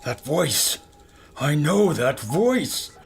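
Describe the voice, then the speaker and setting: A man as a high-voiced, cocky cameraman. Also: big pitch swings, extremely slow, gravelly, a little hiss or hum, exaggerated voice. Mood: shocked. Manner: brash, self-promoting. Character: cocky, excitable showman newsreel cameraman. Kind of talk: comic retro radio drama acting.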